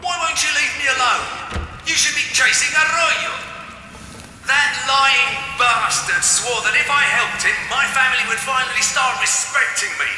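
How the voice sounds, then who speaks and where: A man's voice: extremely high pitch, normal speed, pushed and bright, soft background music, full character voice. An actor playing a desperate, bitter, betrayed man in a video game, strained and pleading. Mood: anguished, bitter. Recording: very echoey large hall.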